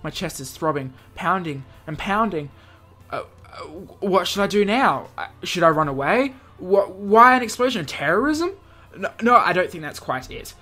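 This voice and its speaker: A man's voice: sits high, animated, moderate pace, pushed and bright. Male let's play host, reading narration and dialogue with loose asides and stumbles, music underneath. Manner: stumbles over words, breaks off into asides. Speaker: gaming host. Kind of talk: let's play, reading visual novel aloud with reactions. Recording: music behind the voice.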